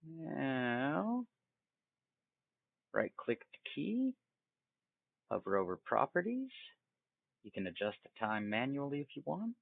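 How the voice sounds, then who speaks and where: A phone-like, dry recording carries a man hosting a casual software tutorial. His lowish voice is thick with fry. He takes his time through each step, informal and folksy, as if thinking aloud. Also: huge pitch swings, takes it slowly, long pauses, dry recording. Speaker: tutorial host. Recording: tinny, phone-like narrow band.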